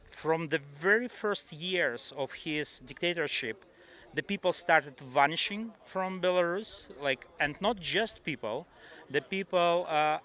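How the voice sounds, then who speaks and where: A man's voice: fairly high pitch, pushed and bright. A man giving his opinion in an unscripted street interview. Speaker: rally participant giving an opinion.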